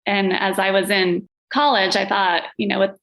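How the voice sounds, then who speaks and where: A woman's voice: mid-range voice, level tone, normal speed, gravelly, fairly bright tone. A woman who learned Latvian at home as her first language, talking about herself in a casual interview with frequent little laughs and self-deprecating asides. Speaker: gallery owner and curator, podcast guest.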